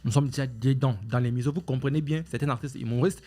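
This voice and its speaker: Halting Congolese man hosting a talk show. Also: mid-range voice, warm tone. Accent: Congolese French. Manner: formal, repetitive, halting with many fillers and restarts. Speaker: show host.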